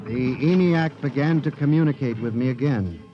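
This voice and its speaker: A man's voice: mid-range voice, moderate pace, loud music behind the voice, natural voice. An actor playing a frantic man who believes machines are alive, in 1950s radio drama. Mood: grim. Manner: narrates then pleads in rising panic. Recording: dull old radio broadcast.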